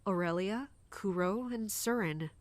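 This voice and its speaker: Female captain of a royal guard, played by an actress: dutiful, guarded, mid-pitched, formal drama delivery, dry sound. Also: a little slow, no room sound, natural voice. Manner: formal, deferential. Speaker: voice actor in audio drama. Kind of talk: dramatic audio drama acting.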